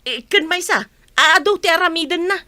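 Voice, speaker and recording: A woman's voice: very high-pitched, fairly quick, bright, forceful tone, no room sound. A woman playing a scolding, evasive village wife with an Ilocano accent in a comedy radio drama. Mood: irritated.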